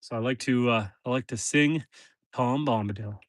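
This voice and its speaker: A man's voice: medium pitch, moderate pace, dry recording, studio quality. A man from northern Canada, stuffy-nosed, chatting casually on a live stream from his homestead, talking to viewers and his animals. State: stuffy nose. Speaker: homesteader livestreaming. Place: outdoors in a forest pen.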